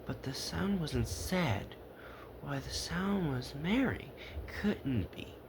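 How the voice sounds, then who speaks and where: Male storyteller for children's read-aloud, slow and halting, amateur stumbles, home recording with faint noise. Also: fairly high pitch, expressive. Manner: halting, stumbling over the text.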